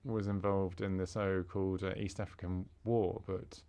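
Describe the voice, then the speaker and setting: A man interpreting a formal speech into English, halting, with fillers and restarts. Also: deep voice, little pitch movement, warm tone, clear, resonant tone.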